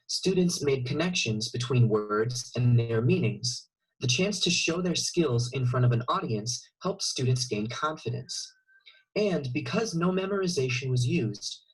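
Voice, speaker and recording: A man delivers scripted narration for an informational video. His voice sits at an average pitch and has a slight huskiness. He sounds like he is reading prepared text. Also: breathy edge, poor audio.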